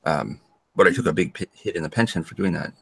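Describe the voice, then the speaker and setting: A man's voice: low-pitched, fast, vocal fry, slightly rough. An older man, a host of a weekly video hangout, chatting in a joking, playful way. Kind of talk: casual video call chat.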